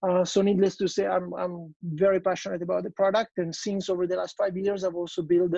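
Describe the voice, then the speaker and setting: A man's voice: high-pitched, flat delivery, a little fast, creaky, warm tone, dry recording, dull audio. A man with an Italian accent giving an online business presentation, hesitant and full of fillers and restarts. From Italy.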